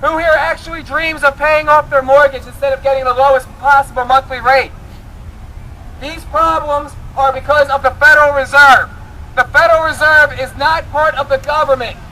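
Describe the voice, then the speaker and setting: A man's voice: very high-pitched, fairly even intonation, moderate pace, bright, forceful tone, low-quality recording. A man giving an insistent political street speech through a bullhorn, firing rhetorical questions at passersby as an activist. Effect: amplified through a bullhorn. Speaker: political activist campaigning in public. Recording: outdoor street recording with clear traffic noise.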